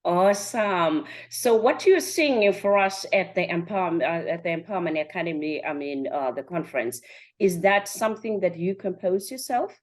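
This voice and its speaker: Low-voiced woman hosting a podcast interview. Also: animated, moderate pace. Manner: informal, addresses audience directly. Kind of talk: conversational interviewing and event promotion.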